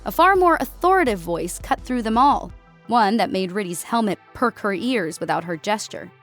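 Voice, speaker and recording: A woman's voice: mid-range voice, expressive, normal speed, fairly bright tone, faint music behind. A woman reading scripted narration of a military science fiction story as an audiobook narrator.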